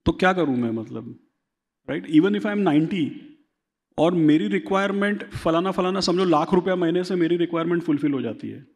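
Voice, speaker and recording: A man's voice: fairly high pitch, expressive, moderate pace, darkish tone, long reverb tail. A man as a finance expert guest in an interview, explaining at length and often checking for agreement. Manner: explanatory, seeks agreement with repeated tag questions. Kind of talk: conversational interview, Hindi-English mix.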